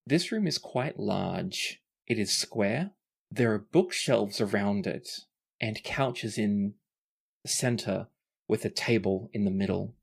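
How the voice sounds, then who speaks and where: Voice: mid-range voice, slow pace, gravelly, husky. A game master and host narrating a tabletop roleplaying podcast in a descriptive way, sometimes self-correcting.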